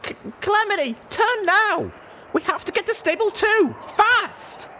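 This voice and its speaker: Man doing a shrill, fiery young mare. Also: lively intonation, measured pace, bright, forceful tone, slight background noise, heavily acted. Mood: panicked. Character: small fiery young mare heroine, excitable and indignant.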